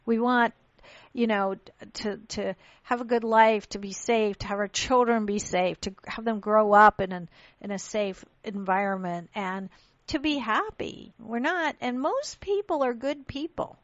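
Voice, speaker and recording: A woman's voice: fairly high pitch, a little slow, no echo, dull audio. A woman born in Pakistan hosting a radio talk show, speaking earnestly in casual chat and often restarting her sentences.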